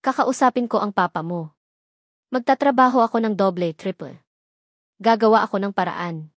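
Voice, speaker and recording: A woman's voice: medium pitch, moderate pace, no echo, clean studio sound, natural voice. A woman voicing a heartbroken young man who pleads with his ex-lover not to leave, his voice breaking. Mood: pleading.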